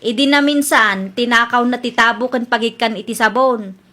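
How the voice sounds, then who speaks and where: Woman playing a shrill-bright, high-voiced nagging wife. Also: normal speed. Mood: accusing. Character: nagging, suspicious wife accusing her neighbour of theft. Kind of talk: Ilocano radio comedy drama acting.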